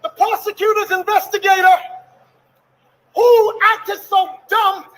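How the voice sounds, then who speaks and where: A middle-aged man delivers an angry, impassioned denunciation in a very high, strained pitch. His voice is bright and forceful, and he shouts like an indignant preacher. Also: level tone, measured pace, poor audio. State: agitated, angry. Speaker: defendant's father speaking out.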